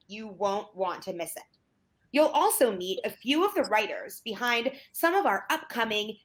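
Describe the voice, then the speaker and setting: A woman's voice: a little high, a bit bright. A woman hosting an event, delivering a scripted announcement. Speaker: event host introducing a panel.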